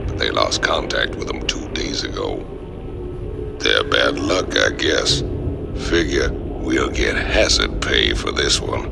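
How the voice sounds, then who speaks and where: An actor playing a gruff, laid-back mercenary in a video game, with a Southern American accent and a dry, folksy, gravelly delivery. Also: male voice, rumbling low, full character voice. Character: gruff, laid-back male mercenary outlaw, world-weary.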